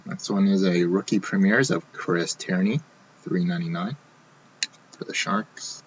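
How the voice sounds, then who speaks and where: A man hosting a live card box break, talking casually while he opens packs, his voice going hoarse. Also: deep voice, measured pace, highs cut off, background noise. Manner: casual, running commentary while opening packs. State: losing his voice.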